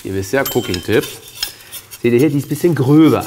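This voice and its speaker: A man in his thirties hosts a cooking show from a studio kitchen, his pitch swinging in a lively, animated way as he chats and turns to the viewers. He speaks on a lapel mic, with a faint clatter of pans and cutlery behind him. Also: brisk, a little hiss or hum. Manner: chatty, lively, addresses viewers directly. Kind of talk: casual cooking show chat. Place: studio kitchen set, indoors.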